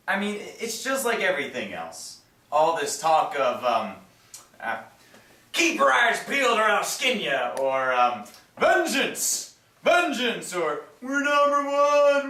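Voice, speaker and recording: A man's voice: sits high, pushed and bright, full character voice. A man performing a stage monologue as a vain, slangy, weary young ship's lookout who breaks into exclamations. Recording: echoey room, far from mic.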